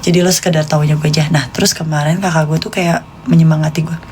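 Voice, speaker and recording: A woman's voice: deep voice, fairly even intonation, quick pace, a little mellow, a little hiss or hum. A woman in her late twenties with a Jakartan Indonesian accent, chatting casually and confidingly to live stream viewers in informal slang. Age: late 20s.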